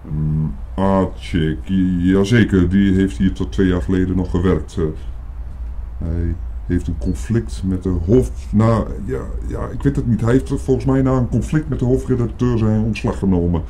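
An actor playing a hesitant, secretive office mail courier in a radio play, muttering in a halting way. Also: male voice, sits low, dark tone, slight background noise, close to an everyday voice. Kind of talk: Dutch radio play acting.

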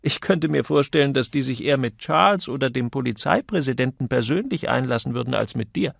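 Man putting on an outspoken, reproving lady, pitched up. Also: lively intonation, brisk, creaky, no echo, clean studio sound. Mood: reproving, teasing.

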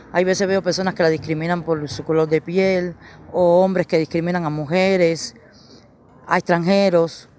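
A woman's voice: lowish voice, a little mellow. A woman in her forties from Cuba with a Cuban accent, giving a frank, emphatic opinion in a street interview. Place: outdoors on a street. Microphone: handheld reporter mic.

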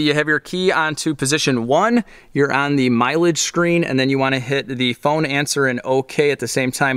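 A man with a slightly higher voice talks through a brake job in a home garage. He is relaxed and chatty, dropping frequent fillers as he films with a hand-held camera. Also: clean tone. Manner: relaxed, frequent "uh" fillers, addresses viewers as guys. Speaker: mechanic demonstrating brake service. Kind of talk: casual how-to walkthrough.

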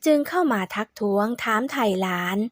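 A woman narrating a folk tale in a steady, measured storytelling voice. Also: mid-range voice, no echo, clean studio sound.

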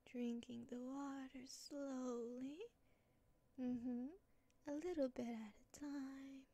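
A woman speaking in soft, breathy whispers in a soothing ASMR roleplay as a comforting motherly caretaker. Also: sits high, level tone, slow. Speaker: ASMR creator.

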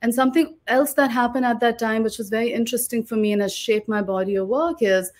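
A woman's voice: on the high side, fairly even intonation, fast talker. A woman, talking reflectively and articulately as an interview guest on a video call. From Lahore, Pakistan. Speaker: guest oral historian and author. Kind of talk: reflective webinar interview talk. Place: living room at home, video call. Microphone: video call microphone.

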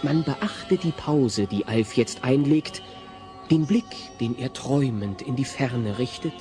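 A man's voice: medium pitch, slow, over music, close to an everyday voice. A man playing the wry storyteller of a German comedy audio play, ironic and theatrical, savouring his words. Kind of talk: scripted comic narration.

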